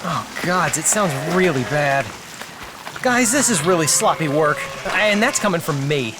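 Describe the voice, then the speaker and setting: Frantic, stammering menagerie worker. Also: male voice, fairly high pitch, animated, measured pace, music in the background. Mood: panicked. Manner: stammering, exclamatory. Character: frantic, anxious mayonnaise-brewing menagerie worker, prone to panicking. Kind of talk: audio drama acting.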